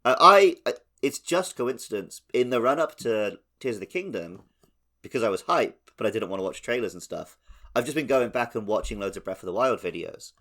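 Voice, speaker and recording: Lower-voiced man in casual podcast chat. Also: expressive, a little fast, sharp tone. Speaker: podcast co-host.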